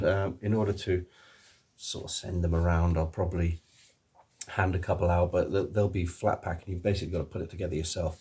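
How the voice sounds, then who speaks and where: A man's voice: deep voice, a little mellow, husky. A middle-aged man with an accent between English and Welsh, chatting casually to camera in a rambling way with many ums. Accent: mix of English and Welsh. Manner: rambling, full of ums and restarts. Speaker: author, illustrator and voice actor. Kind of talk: casual video chat to camera.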